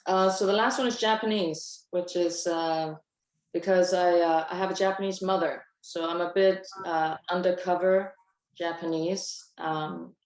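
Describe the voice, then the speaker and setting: A woman from Denmark, a basketball referee, presenting on a video call in a composed, steady way with soft pauses. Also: on the low side, reverberant. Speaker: basketball referee, webinar speaker.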